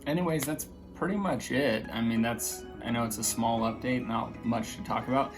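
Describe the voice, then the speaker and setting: A man with a slightly raspy voice chatting casually in a vlog, hesitant, with many fillers and restarts. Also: medium pitch, moderate pace, music in the background. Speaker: vlogger.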